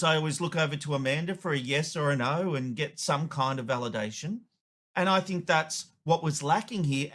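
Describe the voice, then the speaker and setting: Male commentator, slightly high-pitched voice, emphatic and opinionated, presenting an editorial. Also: fairly bright tone. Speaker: show host, media commentator.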